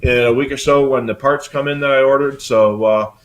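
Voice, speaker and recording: A man's voice: medium pitch, fairly even intonation, fairly quick, background noise. Older male, mid-range voice, casual live-show host, rambling, matter-of-fact explanations. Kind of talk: casual live hobby talk.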